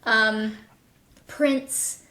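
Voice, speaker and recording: A woman's voice: a little high, steady tone, drawn-out words, sharp tone, echoey room. A woman chatting casually in an interview as a voice director of audio productions.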